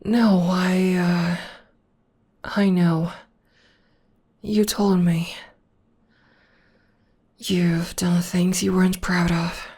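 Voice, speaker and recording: A young woman plays a devoted witch girlfriend in a soothing ASMR roleplay, murmuring and whispering close to the listener. Her voice sits low and airy, and she speaks slowly. It stays even and calm, with little rise or fall. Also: slightly rough, full character voice. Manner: soft, soothing, often whispering. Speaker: voice actor.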